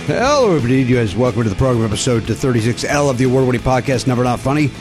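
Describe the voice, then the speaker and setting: Bantering podcast host, lively voice. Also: male voice, mid-range voice, racing, over loud music. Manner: teasing, bantering, animated. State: slightly gravelly voice after illness. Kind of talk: casual comedy podcast chat. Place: podcast studio.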